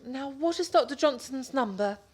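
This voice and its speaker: An actress playing a flustered, worried young wife who fusses anxiously, in 1930s radio-play style. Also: female voice, high-pitched, animated, normal speed, slight background noise.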